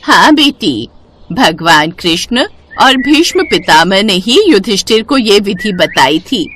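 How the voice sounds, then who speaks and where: A woman's voice: medium pitch, big pitch swings, slow pace, a bit bright, slight background noise. A woman voicing a kindly, pious grandmother, reassuring and instructive, explaining tradition to children. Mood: gentle, reassuring.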